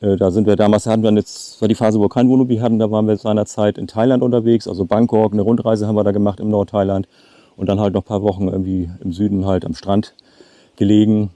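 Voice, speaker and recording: Low, very mellow man in his fifties. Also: flat delivery, fast talker, no echo. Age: late 50s. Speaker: travel vlogger. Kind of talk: casual chat to camera. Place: outdoors by a lake.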